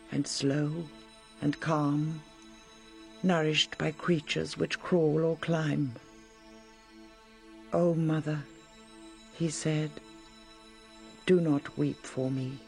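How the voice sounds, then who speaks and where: A royal mother heavy with grief and guilt in a solemn radio drama. She speaks slowly and mournfully, with a gravelly creak in the voice. Soft music sits behind her. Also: sits low, full character voice. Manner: slow, measured, mournful.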